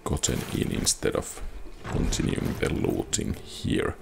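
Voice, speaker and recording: A man's voice: a little slow, background noise. A man giving casual, understated video game commentary, musing to himself as he plays. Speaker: gameplay host and player. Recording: game music behind the voice. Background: fantasy game music.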